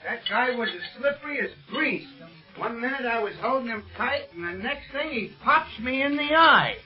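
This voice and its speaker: An actor playing an excitable, good-natured, grumbling comic sidekick in a 1930s radio adventure serial, with slangy, exclamatory delivery. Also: male voice, extremely high pitch, moderate pace. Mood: comically aggrieved. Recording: muffled old radio recording with music.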